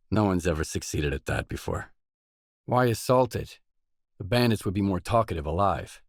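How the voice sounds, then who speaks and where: A guarded video game drifter with a low voice. He speaks in short, matter-of-fact lines, recorded close and dry with no room around him. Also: expressive, moderate pace, long pauses, no room sound. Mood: measured, probing. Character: guarded, terse male drifter searching a dangerous zone.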